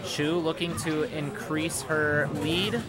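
A man doing steady play-by-play commentary on a fighting game tournament match. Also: fairly high pitch, slow pace, rough recording. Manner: steady play-by-play, dry jokes. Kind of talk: esports commentary. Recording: game music underneath.

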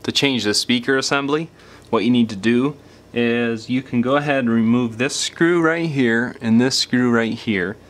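A man's voice: mid-range voice, normal speed, fairly bright tone. Casual man giving a hands-on repair tutorial. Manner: plain-spoken, informal, explanatory. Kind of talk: casual step-by-step hands-on demonstration.

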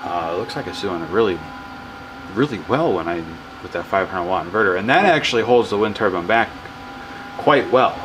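Enthusiastic man over loud fan noise. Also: lowish voice, lively intonation, fairly quick, rough recording. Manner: enthusiastic, rambling, self-correcting. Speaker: hobbyist wind power vlogger. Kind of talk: casual off-the-cuff demo narration.

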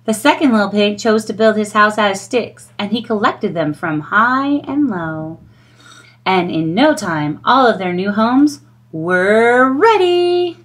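A middle-aged woman reading a children's storybook aloud in an expressive storytelling style. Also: medium pitch, measured pace.

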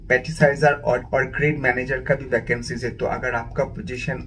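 A man's voice: mid-range voice, slight background noise, rough recording. A young man from India hosting a career vlog, speaking directly and upbeat to viewers while mixing in English phrases, in a small indoor room. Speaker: career channel host. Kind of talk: informational vlog to camera.

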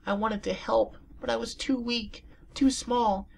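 A man voicing a small, timid pony colt in a high, soft childlike voice as he reads fan fiction aloud. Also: fairly even intonation, gravelly, slight background noise, heavily acted. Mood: pleading. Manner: high soft childlike falsetto, slow and pleading.

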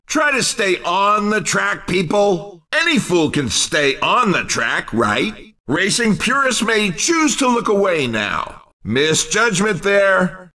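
A man voicing an excitable, cheeky race commentator in a video game, with punchy, exclamatory delivery. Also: high voice, recorded from a distance, exaggerated voice. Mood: cheeky, scolding.

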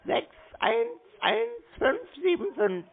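A person talking slowly and naively on a phone call, sounding confused, literal and repetitive. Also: high voice, huge pitch swings, gravelly. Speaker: prank callee stringing along a scam caller. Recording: muffled, band-limited phone call.

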